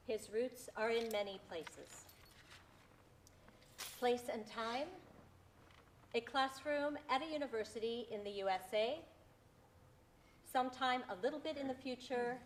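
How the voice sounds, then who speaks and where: A woman with a mid-pitched voice narrates a script in deliberate, measured phrases. There is a little background noise in the recording. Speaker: narrator reading stage directions.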